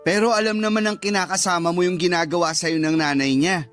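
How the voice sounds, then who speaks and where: An actor playing a cheeky young adult younger brother in a radio drama, taunting and full of needling questions. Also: male voice, high voice, fairly even intonation, brisk, fairly bright tone, faint music behind. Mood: questioning, needling.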